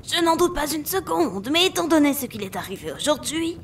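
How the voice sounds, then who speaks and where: Lady doing a worried cartoon court advisor, careful n deferential, high voice that goes up and down a lot. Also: sing-song, fairly quick, a little hiss or hum. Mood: worried, placating. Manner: cautious, placating. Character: anxious, deferential female royal advisor. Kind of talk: animated series fan dub.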